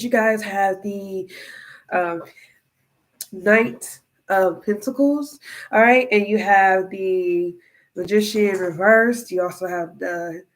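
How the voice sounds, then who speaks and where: A woman's voice: mid-range voice, rough recording. Chatty congested tarot reader, a woman talking casually to the viewer and checking in constantly. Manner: chatty, keeps asking "all right?" and "okay?". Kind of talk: casual tarot reading to camera.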